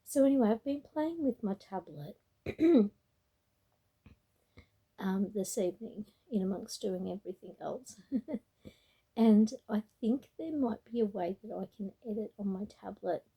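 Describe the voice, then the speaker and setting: A woman winding down after a long day, chatting to her tablet camera about her crochet. Her voice is mellow and low in brightness, with a husky, raspy edge. She speaks unhurriedly, meandering and pausing often. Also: expressive. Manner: meandering, with frequent ums and restarts. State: tired. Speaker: crochet vlogger. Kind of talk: casual late-night rambling vlog.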